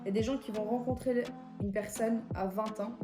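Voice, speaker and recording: A woman's voice: mid-range voice, steady tone, fairly quick, roomy sound, music in the background. A woman from Belgium chatting casually as a beauty vlogger, answering viewer questions with lots of fillers. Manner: chatty, gives life advice, many fillers. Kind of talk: casual chat.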